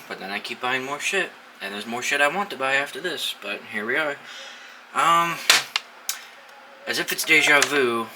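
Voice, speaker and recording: A man's voice: mid-range voice, heavy background noise. A young man giving a casual, wry and offhand unboxing commentary, swearing casually as he goes. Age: young adult. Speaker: hobbyist video host.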